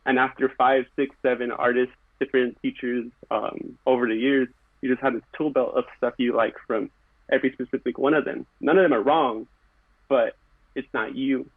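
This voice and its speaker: A man's voice: medium pitch, steady tone, moderate pace, no echo. A man talking earnestly and reflectively as a podcast guest, in short phrases with fillers. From California. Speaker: podcast guest, background painter. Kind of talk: casual conversation. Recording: tinny phone-like line, very dry.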